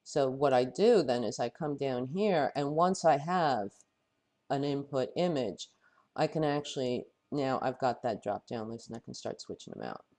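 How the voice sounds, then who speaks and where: A man with a head cold and a voice that is starting to go talks through a software tutorial. He thinks aloud, pausing and restarting his sentences as he works, at an ordinary middle pitch. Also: animated. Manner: thinking aloud, halting and self-correcting. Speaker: software instructor. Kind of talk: screen-recorded software tutorial.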